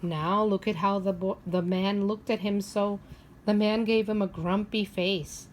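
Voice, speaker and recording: A woman teacher reading a picture book aloud to young children, expressive and instructive, often pausing to ask them questions. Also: mid-range voice, a little hiss or hum. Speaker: preschool or primary teacher.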